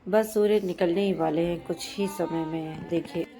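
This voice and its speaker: A hoarse woman in her thirties from central India, narrating a vlog outdoors on a rooftop and explaining the customs with devotion. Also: on the low side, fairly even intonation, normal speed, over loud music. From Madhya Pradesh, India. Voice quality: husky. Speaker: vlogger.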